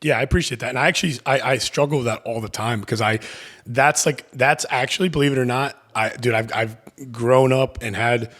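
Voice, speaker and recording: A deep-voiced man in his thirties tells his story as a podcast guest. He rambles loosely, piling up fillers and false starts, always casual and open. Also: fairly quick, a bit bright. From Miami area. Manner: rambling, many fillers and restarts.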